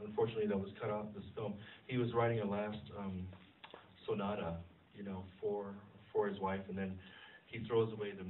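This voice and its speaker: A man speaking as a film director at an audience Q&A, answering off the cuff and haltingly as he thinks aloud. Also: on the low side. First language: Vietnamese or English, trilingual. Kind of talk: unscripted Q&A answers. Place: screening venue with audience. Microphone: distant handheld phone camera. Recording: phone-like muffled audio, distant, faint noise.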